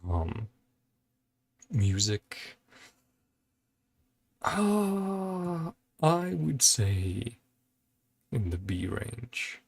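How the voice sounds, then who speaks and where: Slow, gravelly man musing aloud. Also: medium pitch, very animated. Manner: musing, hesitant, thinking aloud in short fragments. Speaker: host of a reaction and ranking video. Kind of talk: casual reaction commentary. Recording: music playing behind the voice. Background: game trailer music.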